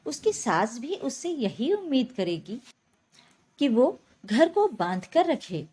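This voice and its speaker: Woman playing an indignant, animated young daughter-in-law. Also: high-pitched, takes it slowly, slightly rough, full character voice. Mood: firm rebuke. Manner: firm, defiant.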